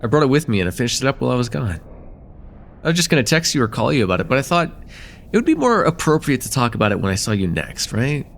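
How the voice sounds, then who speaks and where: A man's voice: medium pitch, big pitch swings, quick pace, gravelly, slight background noise. A man playing a friendly, teasing college-age guy in a casual, hesitant slice-of-life ASMR roleplay with a close friend. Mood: warm, sincere.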